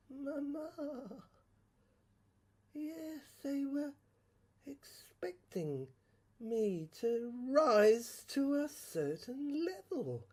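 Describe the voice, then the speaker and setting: Woman acting a husky, haunted dreamer, swooping and intense. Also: mid-range voice, mellow, full character voice. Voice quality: husky, breaking into whispers. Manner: halting, repetitive, intense delivery. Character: tormented, haunted dreamer recounting a surreal inner ordeal. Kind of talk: dramatic monologue in a serial audio drama.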